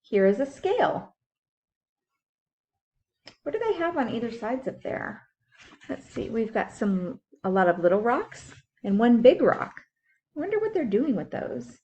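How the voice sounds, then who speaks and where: A woman's voice: a little high, big pitch swings, unhurried, pauses often, darkish tone, lo-fi sound. A woman teacher reading a science book aloud for young children, explaining things simply and putting questions to her listeners. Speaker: school teacher.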